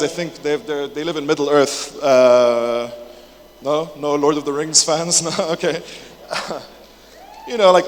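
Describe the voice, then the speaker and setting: A man in his twenties giving a casual, jokey conference talk, full of fillers, restarts and swearing. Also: fairly high pitch. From England. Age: 20s. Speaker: conference speaker. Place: large conference hall, indoors. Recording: echoey hall with faint noise.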